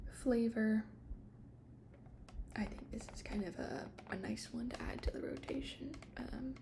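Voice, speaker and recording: A woman doing a soft-spoken ASMR shop roleplay, explaining products in a casual, hesitant, unscripted way. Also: on the high side, creaky. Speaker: ASMR creator playing a tea shop attendant.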